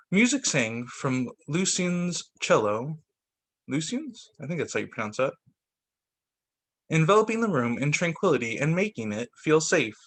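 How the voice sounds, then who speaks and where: Guy doing story time for kids, slightly high voice, really animated up and down reading. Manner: expressive, voices the dialogue with slight shifts; stumbles and corrects himself while reading. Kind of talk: picture book read-aloud for children.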